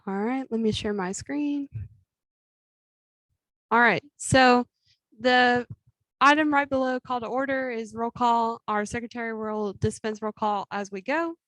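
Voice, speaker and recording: A woman's voice: on the high side, fairly bright tone, no echo, rough recording. Young woman chairing a meeting. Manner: brisk procedural, lightly amused. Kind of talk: running a student government meeting. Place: meeting with a Zoom link.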